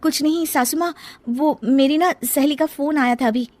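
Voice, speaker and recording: A woman's voice: high-pitched, moderate pace, darkish tone, dry recording, pitched above the performer's own voice, close to an everyday voice. A woman voicing an anxious, meek young shape-shifting serpent daughter-in-law in a cartoon story, in a pleading, apologetic manner. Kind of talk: Hindi cartoon moral story.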